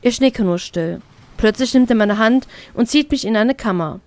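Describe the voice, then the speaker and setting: A woman reading a first-person fan fiction story as an expressive narrator. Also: a little high, moderate pace, no echo.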